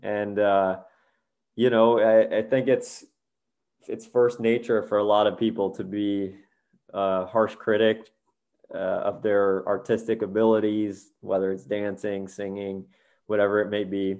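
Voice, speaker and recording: A man's voice: on the low side, little pitch movement, a little slow, a little mellow. A man co-hosting a podcast, speaking thoughtfully and encouragingly in casual chat, with some hesitation. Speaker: podcast co-host.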